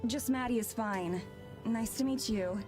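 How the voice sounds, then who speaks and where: Friendly, higher-voiced young woman, played by an actress. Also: measured pace, darkish tone, natural voice. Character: friendly, easygoing young woman with teasing wit. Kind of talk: visual novel voice acting. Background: game music.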